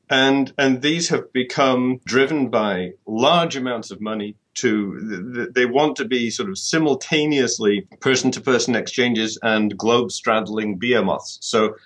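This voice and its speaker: A man's voice: mid-range voice, fairly bright tone. A middle-aged man from the UK, answering interview questions thoughtfully and often repeating words as he restarts. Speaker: author guest.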